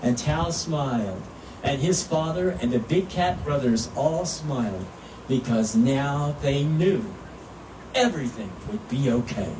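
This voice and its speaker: A man reading a children's story aloud at a live event in an expressive, earnest way. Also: medium pitch, measured pace. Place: outdoor public event at animal habitat. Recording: roomy, distant mic, noisy outdoor ambience.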